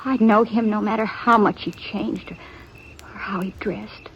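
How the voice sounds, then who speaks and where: An actress playing a shy, earnest young American country girl in a 1950s radio Western, speaking in a halting, plaintive way. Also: mid-range voice, steady tone, lightly acted. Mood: hurt, downcast. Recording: dull lo-fi vintage radio, background noise.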